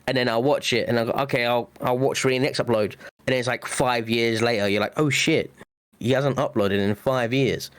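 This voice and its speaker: A man's voice: mid-range voice, fairly even intonation, normal speed, clear, resonant tone. Chatty young man from the UK. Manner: chatty, nostalgic, swears, acts out his own reactions. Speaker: podcast co-host. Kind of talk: casual chat.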